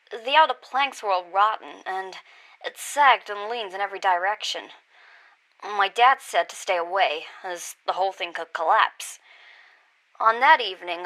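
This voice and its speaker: A woman voicing a female witness who quietly and uneasily recalls a frightening sighting in the first person. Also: medium pitch, low-quality recording.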